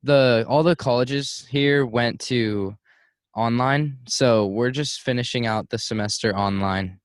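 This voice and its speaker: A man hosting a podcast in a casual conversation, talking in a relaxed way with many filler words. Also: medium pitch, a little slow, smooth, no echo, studio quality. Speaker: podcast host.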